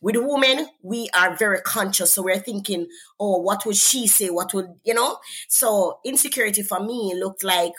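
A woman's voice: medium pitch, normal speed, fairly bright tone, breathy edge. A woman with a Jamaican accent, a guest author talking earnestly about faith and purpose in a preacherly way, often checking in with "right?". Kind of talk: conversational faith interview.

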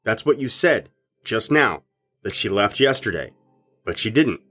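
An actor playing a suspicious, confrontational rock band member in an audio drama, speaking in an insistent, accusing way. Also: male voice, on the low side, expressive, a little slow, sharp tone, faint music behind, exaggerated voice.

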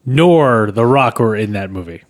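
A man's voice: on the low side, expressive, measured pace. A man in his twenties co-hosting a podcast with casual, dryly insistent banter. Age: 20s. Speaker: podcast host and amateur screenwriter.

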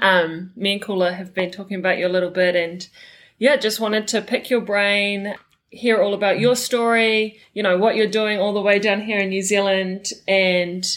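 A woman co-host welcomes a guest and asks questions in a relaxed, friendly manner, using a few fillers. Her voice sits at a middle pitch. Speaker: podcast co-host. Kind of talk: casual interview hosting.

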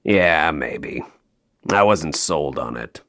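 An actor playing a jaded, sardonic male elf toymaker in an audio play, deadpan, dry and downbeat. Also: very deep voice, takes it slowly, heavily acted.

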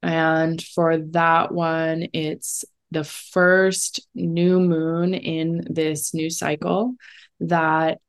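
Woman, astrologer guest, lowish pitch, reflective and casual, lots of "like". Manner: reflective, often says "like". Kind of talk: casual conversational interview.

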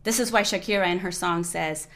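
Chatty Colombian woman, lower voice. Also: a little fast. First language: Spanish. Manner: animated, lots of fillers like "you know". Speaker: guest musician. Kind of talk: casual interview conversation.

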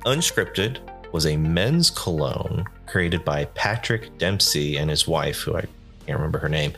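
Man, very low voice, tired, nasally, rambling unscripted podcast talk, self-deprecating, faint music behind. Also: fairly bright tone. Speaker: podcast host.

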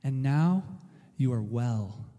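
A man reading the lines of a gentle, calm grown man healer in a children's Bible story. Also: medium pitch, takes it slowly, voice pushed down. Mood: tender. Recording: long hall reverb.

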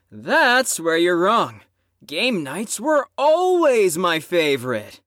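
A woman's voice: lowish voice, huge pitch swings, extremely slow, fairly bright tone, no room sound, clean studio sound, full character voice. A woman playing a cocky, sardonic, competitive character who teases others in an audio drama. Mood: teasing. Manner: teasing, sardonic.

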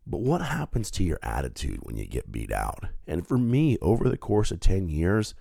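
A man's voice: low-pitched, very animated, normal speed, creaky, dark tone, no room sound, studio quality. A man hosting his own podcast, stuffed up with a head cold, talking in an earnest, reflective and conversational way. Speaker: podcast host. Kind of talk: solo podcast monologue.

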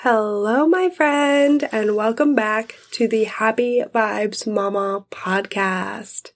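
A woman's voice: on the high side, takes it slowly. Chatty, congested woman podcast host. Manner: friendly, chatty. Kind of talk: casual chat.

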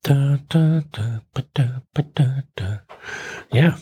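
A man of fifty hosting a casual, rambling solo show in a self-deprecating, joking way, with a husky voice. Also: mid-range voice, slow pace, warm tone. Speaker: podcast host.

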